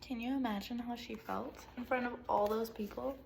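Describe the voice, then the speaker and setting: A woman teacher reading a storybook aloud to children in an animated way, pausing to put questions to her young listeners. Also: on the high side, gravelly, slight background noise, poor audio. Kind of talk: expressive children's read-aloud, speaking to young pupils.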